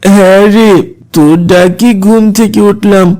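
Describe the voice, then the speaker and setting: A woman's voice: mid-range voice, fairly even intonation, unhurried, mellow, lightly acted. Woman voicing a sleepy, stubborn lazy boy. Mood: sleepy, reluctant. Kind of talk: children's moral cartoon dialogue.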